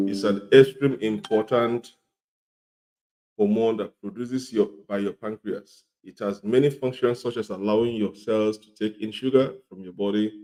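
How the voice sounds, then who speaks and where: A middle-aged man with a cold and a slightly hoarse voice, teaching an informal health lesson, repeating his points and often asking "okay?". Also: on the low side, dark tone, rough recording. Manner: repetitive, often checks in with "okay?". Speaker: health educator.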